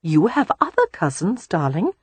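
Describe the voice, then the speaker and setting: A woman voicing a gentle, caring elder sister and mother in an audiobook, soothing and softly advising. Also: fairly low pitch, huge pitch swings, moderate pace, slightly rough, dry recording, full character voice. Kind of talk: audiobook character voice.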